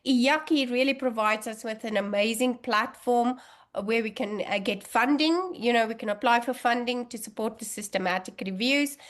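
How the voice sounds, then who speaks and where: A woman's voice: a little high, moderate pace. A middle-aged woman whose first language is Afrikaans, talking casually as an interview guest with many fillers and restarts. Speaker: guest allergy researcher.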